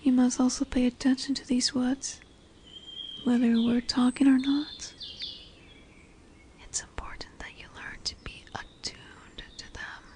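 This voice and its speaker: A woman voicing a dominant but tender lamia in a fantasy roleplay audio drama, speaking softly and soothingly, often dropping into whispers. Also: high voice, little pitch movement, unhurried, long pauses. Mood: instructive, protective. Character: dominant, tender female lamia, snake-bodied forest monster.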